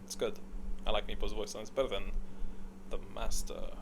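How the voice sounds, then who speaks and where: A man's voice: fairly low pitch, flat delivery, moderate pace, slight background noise. A man hosting a video in casual, unscripted gaming commentary, speaking in a blunt, opinionated way. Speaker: video host ranking game voice lines.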